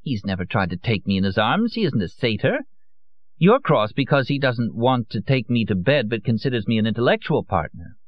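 A man's voice: medium pitch, very animated, brisk, dry recording, lo-fi sound, voice pushed up, natural voice. A man voicing a drunk, theatrical young woman who drawls coyly and stumbles over her words. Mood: teasing. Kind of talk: audiobook character voice.

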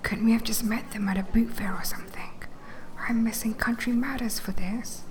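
An actress playing a reluctant, put-upon wife in an audio drama, complaining in a hushed whisper. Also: female voice, on the high side, steady tone, normal speed, slight background noise, lightly acted. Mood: grumbling. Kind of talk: naturalistic audio drama acting.

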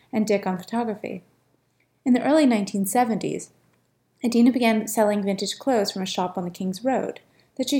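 Creaky-voiced woman podcast host. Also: mid-range voice, dark tone. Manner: read-aloud promotional delivery. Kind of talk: scripted podcast intro, then interview.

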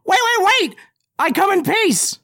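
A small, vain goblin full of swagger, his voice leaping high and excited from word to word. He sounds cocky and eager in a storybook tale, close and dry with no room around him. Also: unhurried, no echo, exaggerated voice. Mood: eager pleading.

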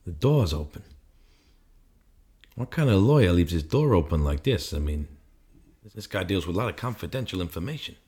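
A man's voice: deep voice, big pitch swings, normal speed, long pauses, mellow. A man playing a confused, suspicious amnesiac in a film noir audio drama, terse and agitated, both narrating and speaking in scenes. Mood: tense, bewildered. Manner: terse, agitated, muttering to himself.

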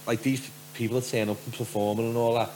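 A man from Liverpool with a softened Scouse accent and a deep voice, telling stories casually as a podcast guest. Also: level tone, fast talker, a little hiss or hum, rough recording. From Liverpool, England. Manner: rambling storyteller, frequent "do you know what I mean".

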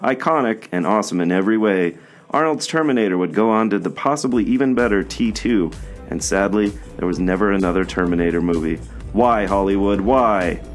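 A man's voice: on the low side, fairly quick, soft background music. A man co-hosting a podcast, reading a scripted comedy list of robots in a dry, sarcastic way. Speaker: podcast co-host.

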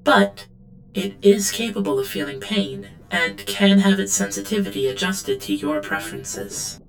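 A woman playing an obedient, guarded android servant in an audio drama, speaking in a formal, measured and deferential way. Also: medium pitch, very animated, creaky, soft background music, low-quality recording. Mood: compliant, formal. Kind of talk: scripted audio drama acting.

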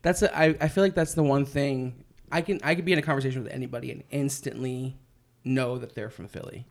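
Chatty, excitable young man interviewing. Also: mid-range voice, creaky. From Philadelphia area. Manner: enthusiastic, swears casually, quick laughs. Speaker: interviewer host. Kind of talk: casual interview chat.

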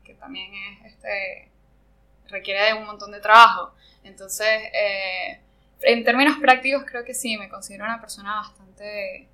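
Venezuelan woman being interviewed, bright clear voice, thinks out loud and hunts for words. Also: medium pitch, rough recording. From Venezuela, Margarita Island. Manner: reflective, searching for words. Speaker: interview guest. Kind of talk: conversational interview.

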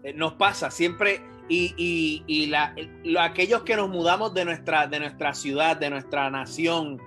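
A man with a Puerto Rican accent speaking earnestly and haltingly in a casual church discussion. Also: a little high, fairly even intonation, bright, forceful tone, faint music behind. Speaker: panelist.

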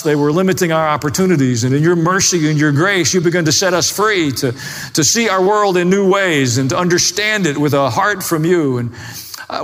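A man's voice: fairly high pitch, fairly bright tone. A man pastor with a plain-spoken, folksy way, preaching and praying before a congregation. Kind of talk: sermon and closing prayer. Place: large hall, preaching to a congregation. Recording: echoey hall with long reverb.

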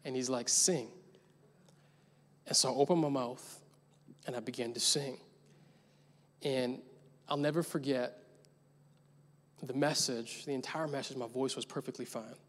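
A man's voice: mid-range voice, fairly even intonation, a little slow, long pauses. A young adult man preaching a sermon as pastor, telling a story casually and building to emphatic points. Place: large church hall. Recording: long church hall reverb.

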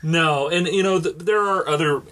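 A man's voice: a little high, moderate pace, pushed and bright. A man hosting a podcast, explaining things casually in a stop-and-start, conversational way and checking that his listener follows. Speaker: podcast host.

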